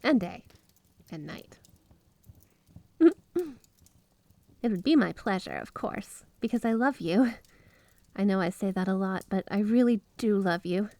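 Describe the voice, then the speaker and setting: A woman's voice: mid-range voice, animated, dark tone, no room sound. Woman acting a gushing, obsessively devoted yandere wife, close-miked. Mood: loving, devoted. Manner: gushing, breathy giggles, drawn-out emphasis on words. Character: flirty, obsessively devoted yandere wife with magic snow powers. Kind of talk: intimate ASMR roleplay monologue to the listener.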